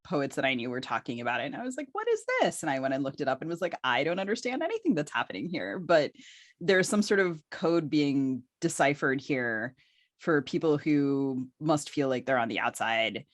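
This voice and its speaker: A woman's voice: low voice, big pitch swings, fairly quick, no echo. A woman in her forties chatting casually as a podcast co-host. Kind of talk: casual conversational interview.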